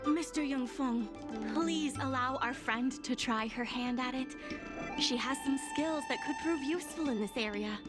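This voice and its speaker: Woman playing game secretary, young and earnest, female, raised pitch, polite, articulate, worried, music underneath. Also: moderate pace, close to an everyday voice. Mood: businesslike. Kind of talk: video game voice acting.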